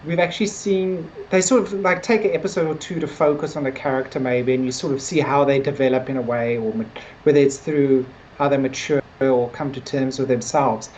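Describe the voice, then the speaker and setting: A low-voiced woman talks through her opinions on a podcast in a loose, conversational way. She hedges often and peppers her points with little filler words. There is some noise on the recording. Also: steady tone, brisk, a little mellow, low-quality recording. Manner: hedging, conversational, frequent "like" and "sort of". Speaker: podcast co-host discussing a TV series. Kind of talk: casual review discussion.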